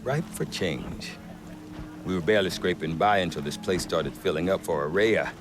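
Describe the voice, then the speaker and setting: An actor playing a gruff middle-aged trader who talks with dry humor. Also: male voice, deep voice, normal speed, background noise, close to an everyday voice. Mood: wry. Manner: wry, dry humor, lively delivery. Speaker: merchant trader. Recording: game cutscene with music behind.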